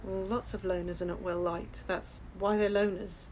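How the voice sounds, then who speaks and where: An actress playing a plain-spoken police officer who questions and muses, in a radio play. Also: female voice, medium pitch, little pitch movement, normal speed, a little mellow, a little hiss or hum, natural voice, poor audio. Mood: questioning. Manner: questioning, musing. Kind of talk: naturalistic radio play acting.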